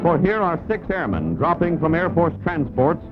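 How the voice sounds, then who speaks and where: A man's voice: a little high, big pitch swings, moderate pace. A man reading scripted newsreel narration in a clipped, showman-like style. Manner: clipped, article-dropping, showman-like. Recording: dull old film soundtrack, loud music. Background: loud music.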